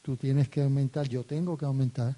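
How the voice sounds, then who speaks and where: Mellow-voiced male church interpreter. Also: mid-range voice, a little fast. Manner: steady, follows the preacher phrase by phrase. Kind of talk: live sermon interpretation into Spanish.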